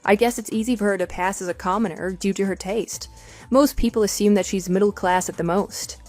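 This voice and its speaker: A woman's voice: mid-range voice, fairly even intonation, fairly quick, darkish tone, faint music behind, close to an everyday voice. A woman playing a composed, matter-of-fact wife with a dismissive edge in a scripted audio drama. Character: composed, wry wife divorcing her cheating husband.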